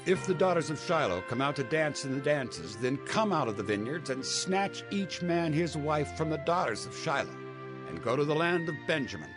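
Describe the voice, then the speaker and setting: A man's voice: on the high side, close to an everyday voice. Man acting declamatory Israelite elder, swooping voice. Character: urgent male elder of ancient Israel giving counsel. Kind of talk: dramatized scripture acting. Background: prominent music.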